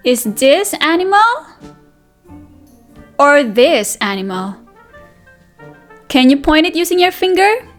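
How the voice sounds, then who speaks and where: A woman's voice: high-pitched, big pitch swings, slow pace, pauses often, faint music behind. A woman reading aloud as a preschool teacher, addressing small children in a sing-song manner with questions and repetition. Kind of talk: interactive children's read-aloud lesson.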